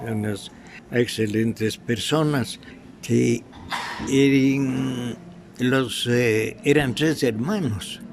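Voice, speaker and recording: An older man with an Ecuadorian accent from Quito, reminiscing haltingly and affectionately as an interview guest. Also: on the low side. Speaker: guest, veteran radio actor. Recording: clearly audible background noise.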